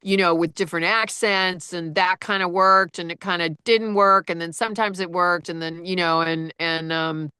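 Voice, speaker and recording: Low-voiced woman narrator, bright and dry. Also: steady tone, moderate pace, few pauses, clear, resonant tone, no room sound. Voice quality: low-register voice. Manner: rambling, with many fillers and restarts. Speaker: audiobook narrator. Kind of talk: casual conversation.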